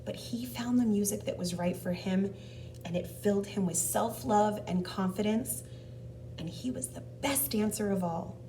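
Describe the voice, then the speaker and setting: A woman hosting an interactive read-aloud for young children, narrating a storybook in an expressive, deliberate way. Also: mid-range voice. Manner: expressive, deliberate, pauses to ask questions. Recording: distant mic, background noise.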